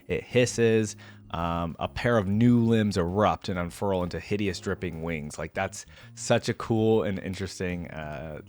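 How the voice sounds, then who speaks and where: A man hosting a reaction video in a casual, conversational way, thinking aloud and sometimes reading text out. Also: on the low side. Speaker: host of a game reaction video.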